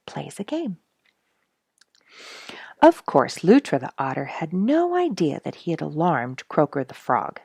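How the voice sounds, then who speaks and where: A woman with a low voice hosts a children's read-aloud. Her intonation is very animated, with an encouraging way of addressing young listeners. The sound is dry, with little room around it. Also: vocal fry, slightly rough, no room sound. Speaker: story reader and host. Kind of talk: read-aloud children's storybook with casual asides.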